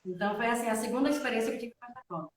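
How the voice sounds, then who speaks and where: Female guest, northeastern Brazilian accent, a little high in pitch, chatty storyteller, recorded some way from the mic. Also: racing. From Salvador, northeastern Brazil. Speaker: interview guest, devotional student. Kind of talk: casual personal storytelling. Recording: roomy echo, distant from microphone.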